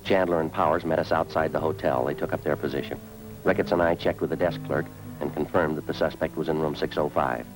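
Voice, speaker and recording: A man's voice: extremely low pitch, fairly even intonation, fast talker, over music, low-quality recording. A man playing a hard-boiled, weary police sergeant in a radio crime drama, with clipped, matter-of-fact delivery. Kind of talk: 1950s radio police drama acting and narration.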